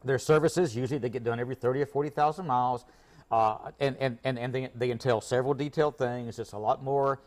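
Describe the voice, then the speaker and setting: A man's voice: medium pitch, fairly even intonation, fast talker, darkish tone. A man speaking as a guest expert in a casual interview, explaining things in a plain-spoken, folksy way. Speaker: auto repair shop owner, guest expert.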